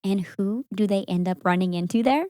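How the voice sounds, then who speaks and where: A young woman co-hosting a podcast, telling a story in a casual, animated way with frequent laughs. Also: mid-range voice, a little fast, no echo, clean studio sound. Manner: animated, laughs often, acts out quoted voices.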